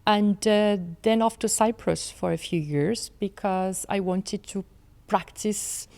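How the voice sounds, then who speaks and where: A woman in her forties with a French accent, a guest in an interview, speaking in a measured way with many fillers and restarts. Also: mid-range voice, creaky, darkish tone, smooth. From France. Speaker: law professor, guest. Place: studio set with armchairs. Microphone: desk mic on boom arm.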